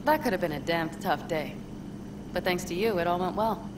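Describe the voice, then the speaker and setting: A woman's voice: lowish voice, normal speed, noisy background, close to an everyday voice. An actress playing a tough, guarded young nomad woman with a blunt, wry, earthy way of talking in a video game drama. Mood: calm, friendly.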